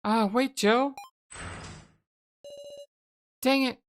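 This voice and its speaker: A woman voicing an anxious, easily startled girl from a visual novel, expressive and hesitant. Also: fairly high pitch, drawn-out words, slight background noise, voice pushed up. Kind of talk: visual novel character read-aloud.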